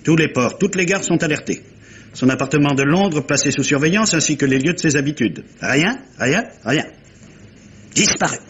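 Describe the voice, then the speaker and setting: An actor playing a heavy-set, blustering, dogged police inspector in a 1950s-style French radio mystery, gruff and husky, with emphatic clipped declarations. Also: male voice, fairly high pitch, lively intonation, moderate pace, fairly bright tone. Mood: blustering, urgent. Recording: dull vintage radio sound.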